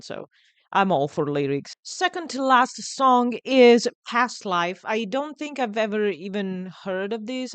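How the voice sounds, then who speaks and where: A woman's voice: mid-range voice, smooth, no room sound. A woman with an Italian accent, a non-native English speaker, giving casual, enthusiastic music commentary and often repeating words as she thinks. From Italy. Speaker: music reaction video host.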